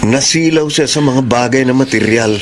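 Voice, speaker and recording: A man's voice: mid-range voice, normal speed, a crowd in the background, natural voice. A man acting a cautious, earnest young friend in a Filipino radio drama, with careful, hesitant delivery. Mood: concerned, disapproving.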